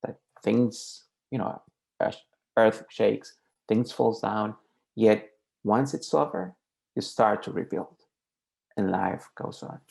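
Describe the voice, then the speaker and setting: Gravelly-voiced reflective man. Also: fairly low pitch, takes it slowly, pauses often, a little mellow. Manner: reflective, heartfelt. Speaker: presenter. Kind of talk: closing a presentation.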